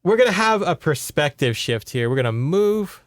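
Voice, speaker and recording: A man's voice: on the high side, very animated, normal speed, no echo, clean studio sound. A man hosting a podcast, retelling a book in casual, conversational chat and checking in with his listeners. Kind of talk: casual story recap chat.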